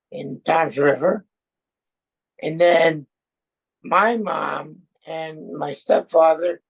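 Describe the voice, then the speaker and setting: Slow, gravelly older New man. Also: a little high, rough recording. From born in Austria, raised in Brooklyn and France. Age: 70s. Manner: halting, hesitant, many pauses and fillers. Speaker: interview guest, Air Force veteran. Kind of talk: casual chat.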